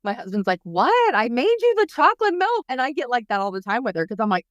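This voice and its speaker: Chatty, animated mom podcast host. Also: female voice, a little high, quick pace, dry recording, muffled recording. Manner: chatty, animated storytelling with slangy asides. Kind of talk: casual podcast chat.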